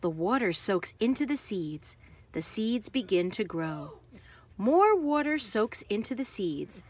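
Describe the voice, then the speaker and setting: A woman reading a children's book aloud with careful, expressive phrasing for young listeners. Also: mid-range voice, unhurried.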